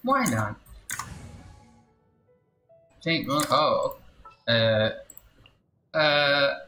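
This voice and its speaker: A game streamer giving casual, excitable live commentary full of muttered repeats and exclamations. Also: medium pitch, very slow, roomy sound, faint music behind.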